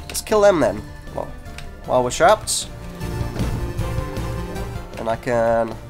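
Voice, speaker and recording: A congested man, hosting a gaming video with casual, self-deprecating chat that breaks off mid-sentence. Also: on the high side. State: congested from a cold. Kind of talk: casual gameplay commentary. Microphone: desk microphone.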